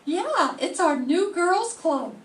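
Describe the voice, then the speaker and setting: An older woman playing a chatty, cheeky young gossip, landing each joke slowly, in an amateur radio drama reading. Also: extremely high pitch, sing-song, full character voice. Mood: gossipy. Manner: slow, punchline delivery. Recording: echoey room, far from mic, faint noise.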